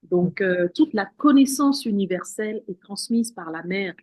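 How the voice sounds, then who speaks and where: A woman's voice: medium pitch, lively intonation, measured pace, a little mellow, dry recording, studio quality. A woman speaking as an interview guest in an earnest spiritual tone, who often repeats words and restarts her sentences. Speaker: guest, women's wellness practitioner.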